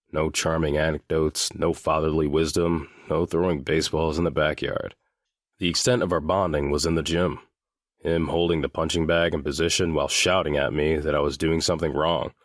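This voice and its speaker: A man playing a guarded seventeen-year-old boy in a full-cast audiobook, speaking in a deliberate, matter-of-fact way. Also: low-pitched, no room sound, studio quality. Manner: deliberate, matter-of-fact, swears now and then.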